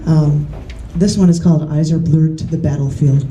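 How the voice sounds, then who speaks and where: A woman poet giving a live reading in a bookstore, reading in a measured, deliberate way with chatty, laughing asides between poems. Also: deep voice, steady tone, warm tone, a little hiss or hum. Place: large bookstore, indoors. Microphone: camera mic. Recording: echoey room from the camera.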